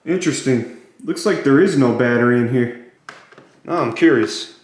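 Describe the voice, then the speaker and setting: A man's voice: medium pitch, moderate pace, slight background noise. A man giving a casual hands-on gadget walkthrough in a plain, matter-of-fact way, listing features as he goes. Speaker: product reviewer. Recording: very echoey, roomy sound.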